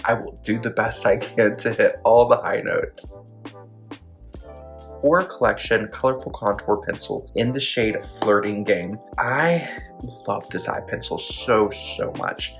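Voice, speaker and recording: Brief: male makeup tutorial host, low voice with vocal fry, soft step-by-step delivery. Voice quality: describes own voice as deep. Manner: gentle, detailed step-by-step explanation.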